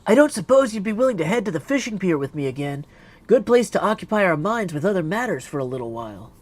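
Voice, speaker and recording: A man's voice: sits high, big pitch swings, fast, voice pushed up. A man voicing an earnest, dutiful young princess witch from a video game, speaking formally and from the heart. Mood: tentative. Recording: clear background noise.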